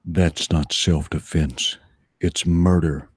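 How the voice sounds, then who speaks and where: Man playing a low, gruff deadpan vigilante. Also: no echo, studio quality, heavily acted. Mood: deadpan, lecturing. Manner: slow, deadpan, menacing drawl. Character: gruff, stern male vigilante hero, unhurried and unflappable. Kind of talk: amateur comic-book dramatization.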